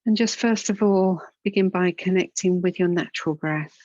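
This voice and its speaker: A woman instructor leading a guided yoga relaxation and breathing practice in a soothing, unhurried, gentle manner with pauses. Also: on the low side, no room sound, muffled recording.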